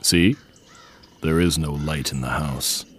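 A man voicing an eerie magic skull in a fairy-tale audio drama, calmly sinister and deadpan. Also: a bass voice, background noise. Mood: ominous. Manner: slow, ominous, deadpan.

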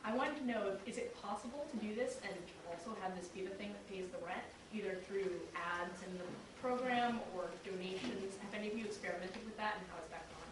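A woman's voice: medium pitch, a little fast. A woman speaking casually from the audience, putting questions and comments to a panel. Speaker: audience member asking questions. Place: convention panel room, indoors. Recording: very echoey, distant, audience noise behind.

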